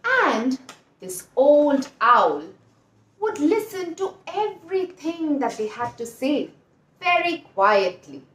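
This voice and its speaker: A woman telling a children's story in an expressive, deliberate way, addressing young listeners directly. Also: high voice, echoey room. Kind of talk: animated scripted storytelling for children.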